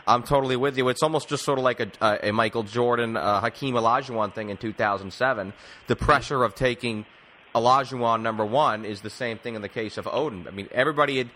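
A man's voice: medium pitch, fast, sharp tone, low-quality recording. A man hosting a casual sports talk interview, speaking in an emphatic, rambling way. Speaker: sports podcast host.

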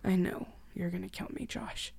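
An anguished, defiant victim acted by a woman in a dark crime reading. She speaks in a hushed, wounded way with a creaky edge, confronting her tormentor. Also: fairly low pitch, moderate pace, no echo, whispered, heavily acted. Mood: frightened, accusing.

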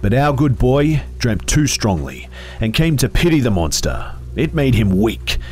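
A man voicing a faceless, vengeful static phantom with a buzzing, hissing voice and a slow, solemn, menacing delivery. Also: mid-range voice, sing-song, a little mellow, faint music behind, pitched above the performer's own voice.

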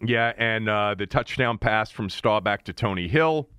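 A man's voice: lowish voice, a little slow, sharp tone, clear, resonant tone, no room sound, clean studio sound. A middle-aged or older man from the Washington, D.C. area hosting a casual sports interview in a rambling, conversational way. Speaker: sports talk host.

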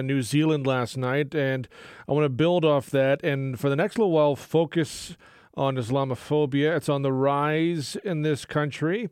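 A man hosting a talk radio show from a studio, speaking in an earnest, measured way with filler pauses. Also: fairly high pitch, clean tone, no room sound. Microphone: studio microphone.

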